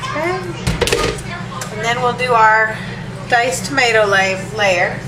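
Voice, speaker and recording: A woman's voice: on the high side, slow. A woman with a stuffed-up nose from allergies, casually talking through a home recipe in her kitchen with chatty ums and asides. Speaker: home cook showing a recipe. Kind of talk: casual cooking demo. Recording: echoey room, distant mic, hiss.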